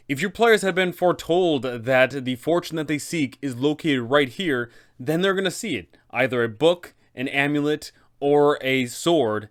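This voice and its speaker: A man's voice: medium pitch, a bit bright. A man hosting a game master guide, talking in an enthusiastic, casual way. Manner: enthusiastic, upbeat. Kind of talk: casual tutorial narration.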